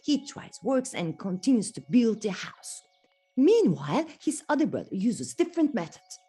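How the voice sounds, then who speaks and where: Woman, Turkish first language, animated kids' storyteller, breathy. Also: on the high side, moderate pace, faint music behind. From Turkey. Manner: animated, asks the listener questions. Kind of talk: children's storytelling.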